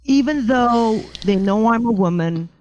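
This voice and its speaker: A middle-aged woman with a low voice, a house painter chatting as a podcast guest, joking about herself and often restarting her sentences. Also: animated, measured pace, a little mellow, lo-fi sound. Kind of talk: casual podcast interview.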